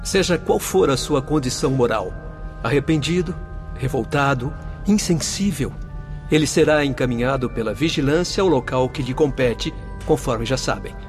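Casting: male actor for a dutiful doctor of the spirit world, mid-pitched, formal phrasing, radio play over soft music. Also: expressive, normal speed, close to an everyday voice. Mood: grave, matter-of-fact. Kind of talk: radio drama acting, formal. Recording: music behind the voice.

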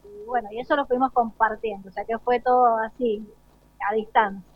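High-pitched Argentine woman, dull lo-fi sound. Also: fairly even intonation, fairly quick. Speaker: school director being interviewed. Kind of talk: spontaneous spoken interview. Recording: dull, lo-fi live broadcast sound.

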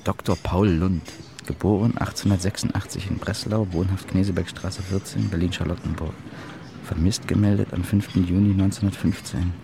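Street and vehicle noise surrounds a young newspaperman in wartime Berlin. He is a man with a fairly low voice, earnest and brooding, delivered as radio drama. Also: fairly even intonation, fairly quick. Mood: brooding, thoughtful. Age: young adult. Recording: clear vehicle and ambience noise behind.

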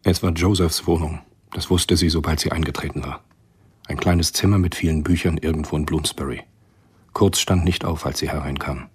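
Guy narrating a radio drama, super low voice, scripted storytelling. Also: fairly even intonation, normal speed, husky.

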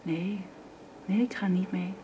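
An actress playing a gentle, anxious young housekeeper and nanny in a radio drama, speaking hesitantly. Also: female voice, fairly low pitch, fairly even intonation, slow pace, slightly rough, full character voice. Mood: sad, gentle. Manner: hesitant, deferential to employer. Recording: dull, noisy old radio recording.

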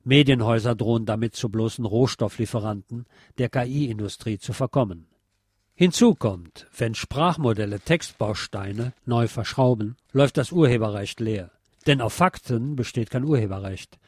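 A man's voice: mid-range voice, normal speed, darkish tone, husky, no echo. Man reading a journalistic essay, dry studio sound. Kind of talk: scripted narration of a journalistic essay.

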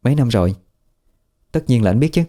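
A man's voice: mid-range voice, expressive, brisk, mellow, lightly acted. Man doing a brash young woman, Southern Vietnamese. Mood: defiant. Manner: blunt, teasing, mocking.

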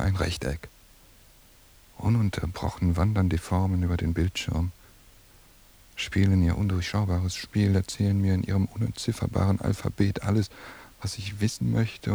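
An actor playing a melancholy, haunted surveillance cameraman in a radio play, voicing introspective thoughts with a gravelly, breathy voice. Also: sits low, mellow. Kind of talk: German radio play inner monologue.

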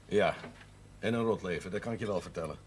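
Casting: man playing dry-witted country priest, measured and teasing, TV drama, slightly noisy old soundtrack. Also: low voice, close to an everyday voice. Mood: calm, sly. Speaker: actor in a television drama series. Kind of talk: television drama dialogue.

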